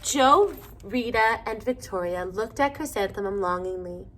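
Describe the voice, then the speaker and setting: A woman reading a children's storybook aloud in a deliberate, expressive manner. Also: medium pitch. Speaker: storybook reader.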